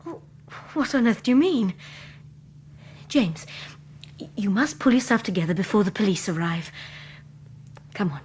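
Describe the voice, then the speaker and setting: An actress playing a headstrong young gentlewoman in a radio drama, insistent and agitated, with an English accent. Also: medium pitch, lively intonation, normal speed, long pauses, a little hiss or hum, close to an everyday voice. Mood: urgent, pleading.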